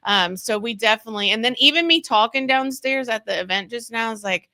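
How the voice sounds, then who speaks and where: A woman hosting a relaxed recovery podcast, chatty and loose with many fillers. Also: on the high side, brisk. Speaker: host. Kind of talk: casual chat.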